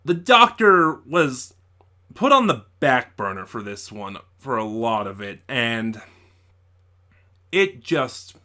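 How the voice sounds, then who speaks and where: A man's voice: mid-range voice, very animated, slow, bright, forceful tone. A man giving a casual spoken review as an online host, thinking aloud and often correcting himself. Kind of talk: casual spoiler review of an audio drama.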